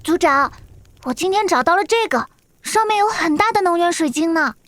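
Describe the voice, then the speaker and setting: A woman voicing a young, innocent and blunt beast-folk girl in an animated fantasy drama, with a childlike, eager manner. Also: very high-pitched, moderate pace, no room sound. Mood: excited, proud. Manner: childlike, refers to self by name.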